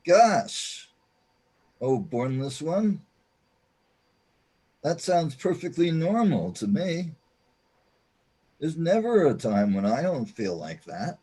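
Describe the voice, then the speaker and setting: Guy playing tired matter of fact sidekick in sci-fi radio drama, rough soft-edged voice, real slow. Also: on the high side, big pitch swings, full character voice. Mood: wry sympathy. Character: weary, matter-of-fact male companion in cosmic limbo. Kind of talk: hobby sci-fi audio drama acting.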